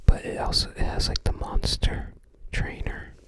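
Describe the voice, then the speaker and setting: Soft whispering ASMR voice. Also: moderate pace. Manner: hesitant, rambling recollection in short phrases. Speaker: ASMR creator. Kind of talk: whispered ASMR book browsing.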